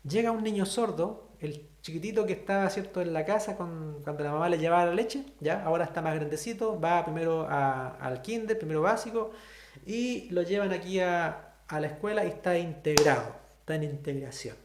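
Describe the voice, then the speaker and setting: Echoey Chilean-accented teacher. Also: male voice, on the high side, brisk. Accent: Chilean Spanish. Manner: explanatory, asks the class frequent questions. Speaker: sign language teacher. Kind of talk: online class lecture.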